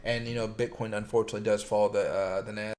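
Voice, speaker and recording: A man hosting a casual crypto livestream, his voice a little sore and raspy from days of streaming, rambling with lots of fillers and greeting chat viewers by name. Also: lowish voice, little pitch movement, racing, darkish tone.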